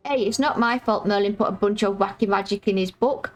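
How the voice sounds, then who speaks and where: A woman reading the lines of a brash, cheeky young girl in a video game, blunt and slangy, with a croaky voice. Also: mid-range voice, brisk, natural voice. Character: brash, cheeky young girl who loves racing games.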